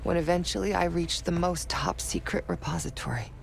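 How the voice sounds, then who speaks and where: A gravelly, haunted woman spy. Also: lowish voice, lively intonation, fairly quick. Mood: haunted, reflective. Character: guarded woman spy under interrogation, bitter, defiant, haunted. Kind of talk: dramatic video game acting. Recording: heavy background noise and ambience.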